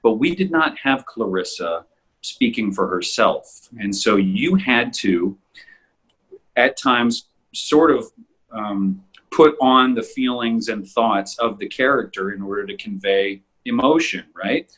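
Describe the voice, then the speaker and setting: A man in a casual, halting discussion, speaking appreciatively as co-creator of an audio drama podcast. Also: fairly low pitch, animated, fairly bright tone, slightly rough, rough recording. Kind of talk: casual discussion of their own work.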